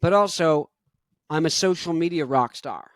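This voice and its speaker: A young man hosting his own podcast in an energetic, rambling monologue full of "like". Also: a little high, moderate pace, dry recording. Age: young adult. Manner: rambling, energetic, heavy use of "like". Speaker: podcast host.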